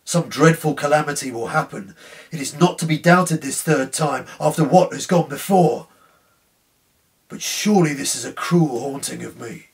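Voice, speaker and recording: A man's voice: on the high side, moderate pace, creaky, pitched above the performer's own voice. A man voicing a tormented, fearful railway signalman in a dramatic story reading, earnest and anguished. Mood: anguished dread. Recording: slightly distant room microphone.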